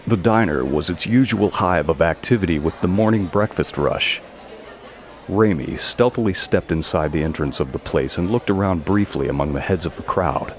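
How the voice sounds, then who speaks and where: A man's voice: sits low, normal speed, a little hiss or hum. A man narrating a scripted audio story.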